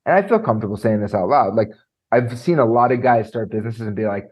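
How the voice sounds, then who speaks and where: A man coaching on presentation skills, talking in an animated way and filling his speech with "like" and "right?"; he has a trained high singing voice. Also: fast. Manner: animated, lots of fillers like "like" and "right?". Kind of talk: conversational podcast interview.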